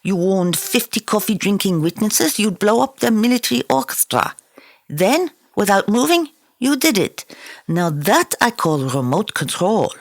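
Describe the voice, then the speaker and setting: A woman's voice: medium pitch, very animated, a little slow, no room sound, clean studio sound. A woman reading an audiobook and voicing a smirking, accusing male militant in a sardonic, needling way. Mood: sardonic accusation.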